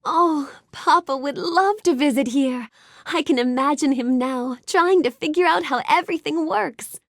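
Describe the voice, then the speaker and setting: A woman playing a sweet, curious, bookish young fairy-tale heroine in a video game, speaking with gentle, courteous wonder. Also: high voice, a little slow, dry recording, clean studio sound. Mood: warm, friendly. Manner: gentle, wonder-filled, courteous, expressive delivery. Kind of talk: animated game character dialogue.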